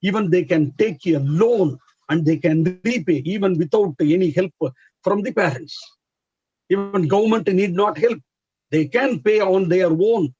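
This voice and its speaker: Indian guy giving earnest advice, lots of uhs, slightly raspy, kinda lo-fi dry audio. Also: sits high, a little mellow, no room sound. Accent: Indian English. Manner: halting, many fillers, earnest advisory. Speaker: chartered accountancy educator. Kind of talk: explanatory interview answer.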